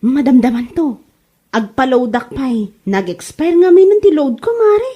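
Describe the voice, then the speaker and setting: A woman's voice: high voice, animated, measured pace, husky, dull audio, full character voice. A woman with an Ilocano accent playing a mocking village gossip in a comedy radio drama. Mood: gossipy.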